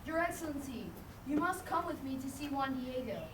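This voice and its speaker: A woman playing a pushy, excitable friar in a puppet show, exclaiming eagerly and insistently. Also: sits high, brisk. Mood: amazed, excited. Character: pushy, excitable friar, bishop's nosy male doorkeeper. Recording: echoey, noisy, distant live recording.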